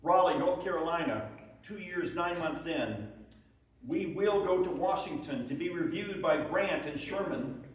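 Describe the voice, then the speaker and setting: Guy declaiming civil war letters, formal, super echoey room, kinda high voice. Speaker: presenter reading historical letters aloud. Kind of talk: dramatic reading of a Civil War soldier's letters. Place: large room at a live presentation. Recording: very echoey room, far from the mic.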